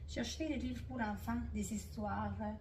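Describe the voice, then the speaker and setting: A woman's voice: a little high, fairly even intonation, normal speed, darkish tone, distant mic, slight background noise. Italian-accented woman in a roomy space. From Italy. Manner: hesitant, many fillers. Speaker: guest. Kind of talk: casual interview.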